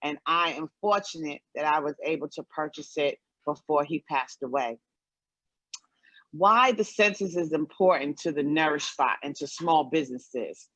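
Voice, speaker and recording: A middle-aged woman with an American accent gives a semi-prepared introduction as a guest speaker, measured, with frequent ums and pauses. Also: low voice, fairly bright tone, dry recording. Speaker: guest speaker, small business owner. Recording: dull, dry lo-fi audio.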